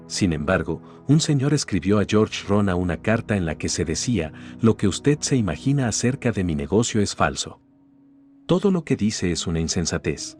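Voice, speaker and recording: A man narrating a self-help audiobook in a steady, even reading voice, reading the quotes in his own voice. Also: low-pitched.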